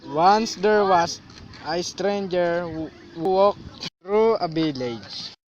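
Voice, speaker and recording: A man's voice: high-pitched, slow, muffled recording. A man acting a brooding adult character in a student radio drama.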